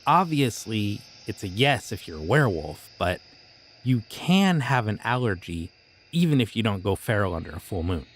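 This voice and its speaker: A man voicing a friendly but creepy young occult shopkeeper in an audio roleplay, talking in a casual, easygoing way about dark rituals. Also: lowish voice, very animated, moderate pace, slight background noise. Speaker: voice actor.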